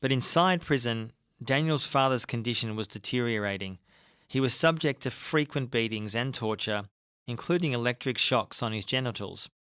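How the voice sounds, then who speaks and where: A man narrating a documentary podcast from a script, in a measured, even delivery. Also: mid-range voice, smooth, dry recording, clean studio sound. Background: faint music.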